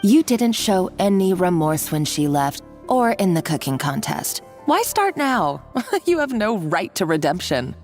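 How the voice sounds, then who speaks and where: A woman's voice: lowish voice, very animated, unhurried, darkish tone, soft background music. A woman playing a scheming, bossy female villain in a video game, with a mocking, condescending, theatrical delivery. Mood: scornful. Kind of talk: dramatic video game voice acting.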